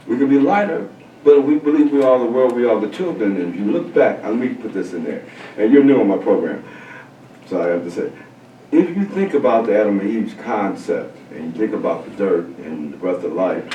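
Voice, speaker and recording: Preachy host with a low voice. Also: male voice, expressive, brisk, dark tone, highs cut off, slight background noise. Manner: emphatic, preachy, swinging pitch. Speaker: talk show host. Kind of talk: casual interview. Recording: roomy sound from a distant mic.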